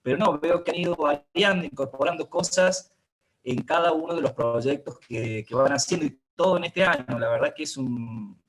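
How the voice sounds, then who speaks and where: A man moderating a conversational interview, building long questions haltingly and repeating words as he searches for phrasing. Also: on the high side, rough recording.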